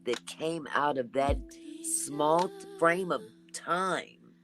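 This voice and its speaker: A woman with a deep, raspy voice talking in a relaxed, chatty way as a guest in a casual conversation. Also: very slow, soft background music. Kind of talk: casual chat.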